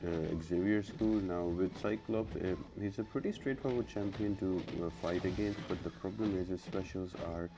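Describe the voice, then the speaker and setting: A man's voice: deep voice, fairly even intonation, normal speed, few pauses. A man hosting a gaming guide, explaining a walkthrough step by step and addressing viewers directly in an instructional way. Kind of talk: explanatory game walkthrough commentary. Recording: clearly noisy background.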